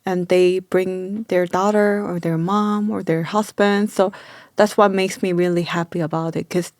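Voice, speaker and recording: A woman's voice: fairly low pitch, steady tone, measured pace, darkish tone, dry recording, studio quality. A woman who owns a small clinic, chatting in an interview in simple, broken English, filling with "like" and laughing often. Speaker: clinic owner. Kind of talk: casual interview chat.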